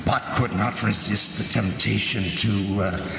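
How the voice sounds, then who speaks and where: An actor playing a gleefully scheming, vengeful man in a live radio drama, sly and deliberate, with feigned courtesy and knowing pauses. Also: fairly low pitch, gravelly, a bit bright. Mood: sly, elated. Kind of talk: theatrical radio drama narration and acting. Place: large hall, live stage performance. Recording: echoey cavernous hall, distant, noisy live recording.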